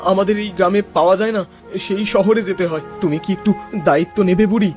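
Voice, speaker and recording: A young village husband, acted by a man, high in pitch and full of feeling. He stammers and pleads in melodramatic cartoon style, over clearly audible music. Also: fast talker, a little mellow, close to an everyday voice. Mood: anxious. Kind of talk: melodramatic Bengali cartoon voice acting. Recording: music behind the voice.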